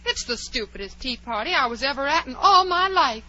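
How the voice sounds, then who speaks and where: Outspoken little girl, bright, polite, curious, 1940s radio drama, muffled recording over faint music. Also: sits high, lively intonation, a little fast, heavily acted. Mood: wondering.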